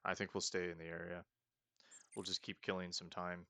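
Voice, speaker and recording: Deep gravelly man narrating gameplay. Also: flat delivery, normal speed, bright, forceful tone, dry recording. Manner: chatty, self-mocking, thinking aloud. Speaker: let's play host. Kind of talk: casual gameplay commentary.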